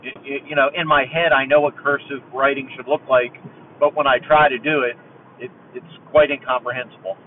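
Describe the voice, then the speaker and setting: A man's voice: mid-range voice, flat delivery, a little fast. Distant, muffled man leaving a voice message. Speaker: podcast listener calling in with a message. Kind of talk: casual spoken voice message. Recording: distant lo-fi voice message, noisy outdoor ambience.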